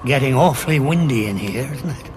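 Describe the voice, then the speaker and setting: An actor playing a wry, questioning Time Lord on the run, understated and wary, in an audio drama. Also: male voice, mid-range voice, animated, fairly quick, a little hiss or hum, close to an everyday voice.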